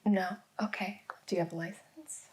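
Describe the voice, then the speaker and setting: A woman playing a patient female police interrogator in a soft-spoken ASMR roleplay, often dropping into whispers and slowly repeating answers back. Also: medium pitch, fairly even intonation, close to an everyday voice. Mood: gentle, probing. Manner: slow, reassuring, echoes back answers. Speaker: ASMR performer.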